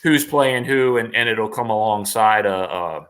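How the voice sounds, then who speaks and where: Bright-voiced man chatting casually. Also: fairly low pitch, expressive, a little slow, clear, resonant tone. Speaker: guest, voice actor. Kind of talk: casual livestream chat.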